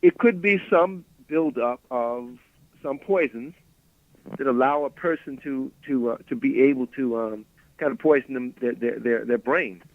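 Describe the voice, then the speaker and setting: A man's voice: medium pitch, measured pace, no echo. A man calling into a radio show, rambling and repeating words as he works out his question. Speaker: caller. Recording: tinny phone line.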